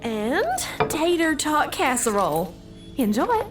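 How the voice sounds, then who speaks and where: A woman's voice: high-pitched, wildly swooping pitch, slow pace, over music, heavily acted. A woman playing an eerily polite diner waiter in an audio drama, with a sing-song service manner and pushy formality. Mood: breezy, servile. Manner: speaks of self in third person, sing-song service voice.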